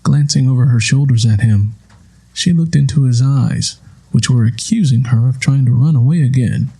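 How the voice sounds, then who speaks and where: Mellow, slightly husky male audiobook narrator. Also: medium pitch, moderate pace, rough recording. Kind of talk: scripted literary narration.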